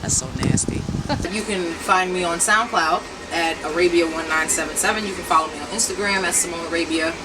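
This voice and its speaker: A woman's voice: lowish voice, a little fast, far from the mic. New woman chatting over traffic. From New York. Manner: relaxed, chatty, frequent ums. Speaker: rapper. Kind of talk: casual street interview. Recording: outdoor traffic noise.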